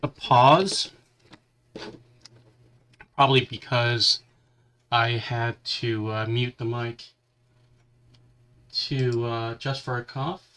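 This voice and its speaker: A man talks through a casual hobby livestream from his workbench, his voice bright and forward. He is still getting over a cold, with a lingering cough and a stuffy nose. His chat comes in halting bits, as his hands stay busy. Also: mid-range voice, steady tone, clear, resonant tone. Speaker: livestream host building a model kit.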